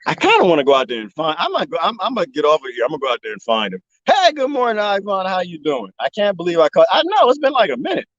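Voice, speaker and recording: A man live streaming from the desert, joking and rambling in a loose, animated way. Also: high-pitched, quick pace, hardly any pauses, dry recording, dull audio. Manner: animated, joking, imitates bird chirps. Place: outdoors in the desert.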